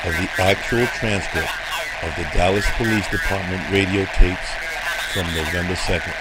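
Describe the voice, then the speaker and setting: A noisy recording carries a male narrator in a lowered voice. He reads dramatic documentary script gravely, with deliberate phrasing. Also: fairly even intonation.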